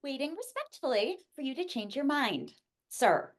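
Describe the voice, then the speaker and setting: A woman acts out a combative young female office worker in a dramatic script reading. The voice is high and very animated. She argues with sharp sarcasm and heavy emphasis. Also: moderate pace. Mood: defiant. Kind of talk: dramatic table-read acting.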